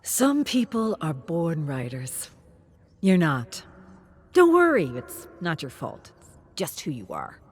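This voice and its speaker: A woman's voice: sits low, wildly swooping pitch, takes it slowly, breathy edge, exaggerated voice. A woman narrator voicing a belittling, condescending male writing teacher in a pointed, patronizing way in a dramatic story.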